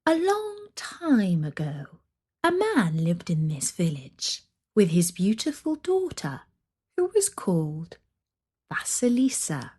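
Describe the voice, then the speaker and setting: Lady reading a kids story, soft husky breathy voice, real slow and dramatic with pauses. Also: medium pitch, wildly swooping pitch. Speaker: storyteller and narrator. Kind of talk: scripted children's story narration.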